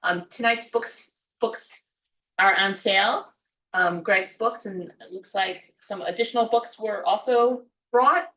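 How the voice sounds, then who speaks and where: A woman's voice: medium pitch, a little slow, pushed and bright. A woman giving the announcements and introductions at a literary event, with many ums and uhs. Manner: reads off a list, with frequent ums and uhs. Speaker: event organizer and development staff. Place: event room at a book club. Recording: echoey room from far off.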